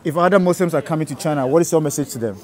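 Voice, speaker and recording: A man's voice: fairly high pitch, racing, darkish tone. A curious man conducting a casual street interview, asking questions and echoing the answers. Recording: clear outdoor traffic noise.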